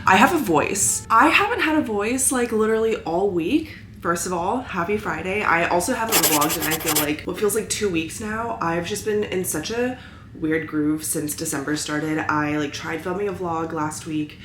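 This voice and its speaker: A woman's voice: lowish voice, normal speed, over music. A young woman chatting casually in a vlog, congested as she gets over a cold, rambling and peppering her talk with "like". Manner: rambling, frequent use of "like". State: recovering from illness, stuffy. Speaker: lifestyle vlogger. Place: indoors at home. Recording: roomy echo with clear background noise.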